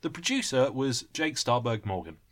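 A man's voice: medium pitch, big pitch swings, moderate pace. A man co-hosting a podcast in a casual, chatty way. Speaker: podcast co-host. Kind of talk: casual banter.

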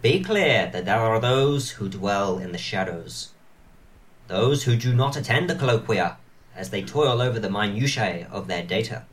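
A man acts a wise and solemn elven lord in an amateur pantomime. He intones gravely with weighty pauses, sounding some way from the mic. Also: on the low side, animated, far from the mic, natural voice. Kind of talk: amateur comic pantomime acting.

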